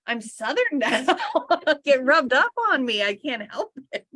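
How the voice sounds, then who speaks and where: A woman co-hosting a spiritual livestream, chatting warmly about books, gushing and laughing often and easily sidetracked. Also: sits high, sing-song, slow, dry recording, studio quality. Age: adult sister of co-host. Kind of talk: casual chat, reviewing books.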